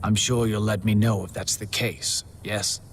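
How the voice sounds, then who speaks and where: An actor playing a courteous, sinister game master who speaks in a measured, polite and ominous way in video game cutscenes. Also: male voice, fairly low pitch, steady tone, a little hiss or hum, lightly acted. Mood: coolly ominous. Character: courteous, sinister male contest master, mockingly solicitous, menacing. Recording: loud music under the voice.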